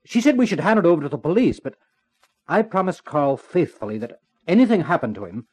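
An actor playing a hesitant, earnest working man with a husky voice in a 1950s radio drama. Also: on the high side, very animated, a little fast, a little mellow. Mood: uneasy. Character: hesitant, conscientious male factory worker.

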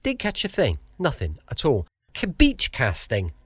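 A man's voice: mid-range voice, huge pitch swings, a little slow, a bit bright, breathy edge, no room sound, clean studio sound. An English man hosting a podcast from home, chatting casually and informally in a rambling way. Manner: informal, repeating himself. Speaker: podcast host. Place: at home, close dry recording.

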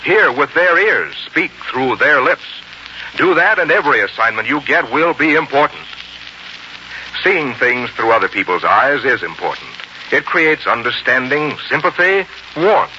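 A man working as a 1940s radio announcer, reading scripted copy in a polished, persuasive, deliberate way. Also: medium pitch, sing-song, pushed and bright. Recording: dull old radio broadcast, faint noise.

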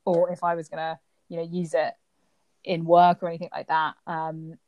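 A woman hosting a podcast, asking easygoing questions in a relaxed conversational way. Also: lowish voice, normal speed, dull audio. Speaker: podcast host. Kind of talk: casual conversational interview.

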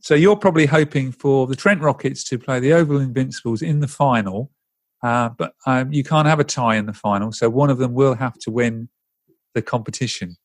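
A man's voice: medium pitch, fairly quick. A man hosting a podcast interview, chatty and hesitant, with many fillers. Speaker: podcast host.

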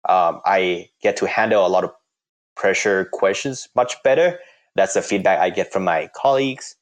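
A young man speaks English with a Thai accent, his voice sitting very low in pitch. He answers interview questions haltingly and pauses often on fillers as he searches for words. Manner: halting, many fillers and restarts. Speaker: interviewee, corporate employee.

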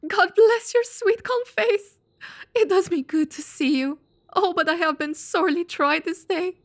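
High-pitched, distraught old housekeeper. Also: female voice, a little slow. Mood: tearful relief. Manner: plaintive, agitated. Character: frightened, distraught elderly female housekeeper, loyal and fretful. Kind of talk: audio drama acting of a classic mystery.

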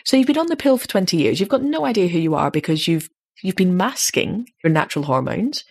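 A woman in her late thirties with a slightly deep voice, chatting casually as a podcast host and growing animated when she makes a point. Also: brisk, gravelly, husky. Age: 30s. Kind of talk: casual chat.

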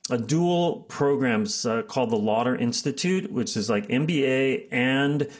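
A man's voice: mid-range voice, animated, measured pace. A middle-aged man, telling his career story as an interview guest, full of fillers and restarts. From United States. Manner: rambling, many fillers and restarts, laughs often.

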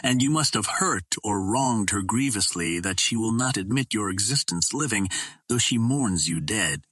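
Guy reading as an earnest green martian girl from an audiobook, husky low voice, talks slow and serious. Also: lively intonation, fairly bright tone, no echo, clean studio sound. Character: grave young green Martian woman.

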